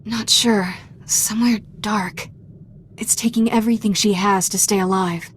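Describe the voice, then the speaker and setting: Sassy streetwise young jedi in a game, middle pitch, kinda wry n casual. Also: female voice, fairly even intonation, measured pace, breathy edge. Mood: worried. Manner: wry, informal banter. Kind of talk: video game cutscene acting.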